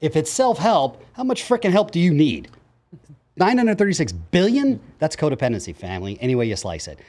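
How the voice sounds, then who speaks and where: A man of about fifty, a podcast guest in a casual interview, telling his story in a blunt, joking, energetic way. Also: fairly high pitch. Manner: fast, energetic talker, blunt and jokey, voices his own past quotes. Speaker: podcast guest, coach in self-transformation.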